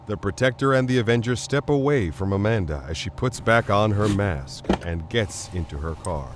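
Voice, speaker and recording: A man's voice: lowish voice, animated, moderate pace, noisy background. A man narrating a scripted drama in the dramatic style of a 1940s radio serial. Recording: music behind narration.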